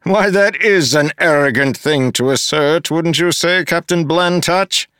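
A man voicing a smug, scheming male mayor who talks slowly and pompously, taunting his rival. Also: a little high, bright, forceful tone, clear, resonant tone, dry recording, studio quality, voice pushed up.

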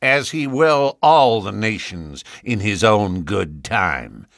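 A man's voice: lowish voice, expressive, slow, a bit bright, no room sound, heavily acted. A man plays a vain young suitor with a nasal voice and a smirking, self-satisfied manner. The sound is dry and close. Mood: smug.

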